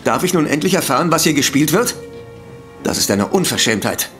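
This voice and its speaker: An actor playing an evasive, indignant doctor under suspicion in a German audio drama, blustering and defensive. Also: male voice, fairly high pitch, sing-song, a little fast, music in the background.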